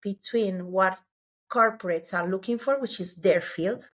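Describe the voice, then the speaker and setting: A woman with an Italian accent, a startup CEO talking as a guest in an interview, pausing often and searching for English words. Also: mid-range voice. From Italy. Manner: halting, many filler sounds, searching for English words. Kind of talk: conversational interview.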